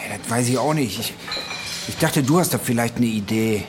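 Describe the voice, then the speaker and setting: A man's voice: mid-range voice, a little fast, music in the background, close to an everyday voice. An actor playing an earnest, dry policeman with a colloquial way of talking in a radio drama. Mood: earnest, frustrated. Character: earnest, dry policeman nephew asking favours.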